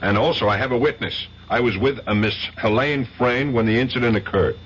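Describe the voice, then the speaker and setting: A man's voice: mid-range voice, a little fast, a bit bright, natural voice. An actor playing an American businessman caught in a plot in a 1970s radio drama, speaking in a determined, insistent way. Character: American businessman in trouble, young adult man. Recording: dull old radio broadcast with faint hiss.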